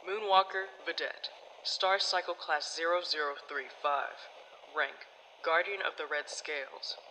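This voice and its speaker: A woman playing a stoic, formal space guardian with terse, deadpan delivery in an audio drama monologue. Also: low voice, measured pace, long reverb tail, slight background noise, rough recording.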